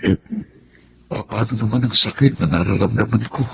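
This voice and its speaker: An actor playing a hurt, resentful grown son and older brother in a Tagalog radio drama. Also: male voice, fairly low pitch, moderate pace, creaky, a little hiss or hum. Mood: troubled.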